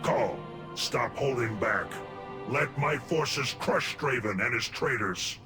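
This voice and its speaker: A man playing a gruff, aggressive soldier commander in a fantasy video game, with a gravelly, barking delivery. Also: medium pitch, lively intonation, takes it slowly. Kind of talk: video game monster acting. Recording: dramatic music behind.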